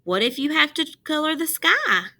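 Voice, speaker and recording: A woman's voice: high-pitched, big pitch swings, moderate pace, bright, forceful tone, voice pushed up, exaggerated voice. A woman voicing an earnest, appreciative young schoolboy in a storybook, speaking with lifted emphasis. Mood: concerned, admiring. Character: young schoolboy about to move away, wistful, appreciative. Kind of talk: storybook read-aloud.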